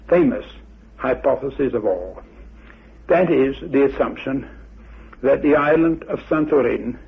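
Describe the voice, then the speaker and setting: Husky older man on muffled radio. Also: medium pitch. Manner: formal, deliberate, scholarly phrasing. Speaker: expert interviewee. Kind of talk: measured explanatory talk. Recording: muffled, phone-like old radio recording.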